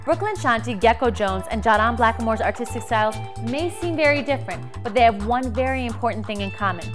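A woman's voice: fairly high pitch, lively intonation, fast. A young woman presenting a music programme in scripted narration. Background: music clearly playing underneath.

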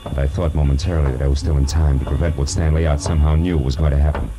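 An American actor playing a tough, wry private detective in 1940s radio noir, clipped and sardonic. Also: male voice, very deep voice, fairly even intonation, very fast. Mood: tense, brooding. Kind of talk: 1940s radio noir acting and first-person narration. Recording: old radio broadcast.

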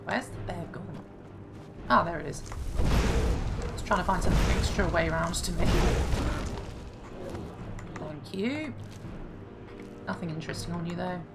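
A woman hosting a casual let's-play, chatting and thinking aloud, her voice slightly hoarse. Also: lowish voice, measured pace, long pauses. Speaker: gaming channel host. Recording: clear game music behind.

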